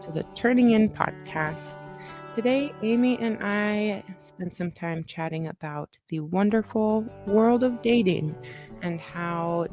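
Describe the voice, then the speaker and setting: A woman co-hosting a podcast in casual, tired-sounding chat that is relaxed and full of laughter. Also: lowish voice. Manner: laughs often, relaxed and chatty. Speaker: podcast co-host.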